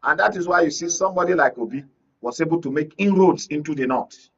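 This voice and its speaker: Nigerian man, political pundit, repetitive rhetorical emphasis, husky-edged unclear voice on muffled lo-fi audio. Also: a little high, fast, a bit bright. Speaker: media analyst, studio guest. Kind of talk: political commentary in discussion. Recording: dull lo-fi audio, distant mic.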